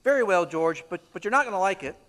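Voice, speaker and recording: Gentle weary angel for a live radio play, played by a man, fairly high voice, long hall reverb. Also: animated, fast talker. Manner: gentle, slightly stammering. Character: mild, weary male guardian angel.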